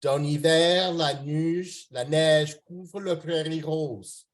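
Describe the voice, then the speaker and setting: A man from Canada whose first language is French, reading his poetry at an open mic over a video call in a slow, careful and polite manner. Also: on the high side, fairly even intonation.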